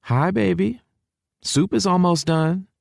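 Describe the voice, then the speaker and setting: A man voicing a warm, welcoming middle-aged Texan mother with a Southern US accent, in an audiobook. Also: high-pitched, slow, creaky, no room sound, clean studio sound, pitched above the performer's own voice, heavily acted.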